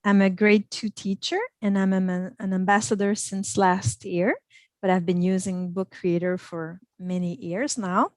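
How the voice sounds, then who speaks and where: A woman's voice: medium pitch, steady tone, unhurried, a little mellow, no echo, clean studio sound. A woman teacher from Quebec with a French accent, a native French speaker, introducing herself on a video-call panel. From Quebec, Canada. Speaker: grade two teacher.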